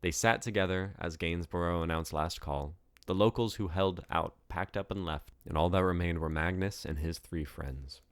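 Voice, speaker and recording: A man with a deep voice narrates fiction as an author reading his own book. The delivery is scripted storytelling. The recording is very dry, with little room sound. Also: normal speed, hardly any pauses, no echo.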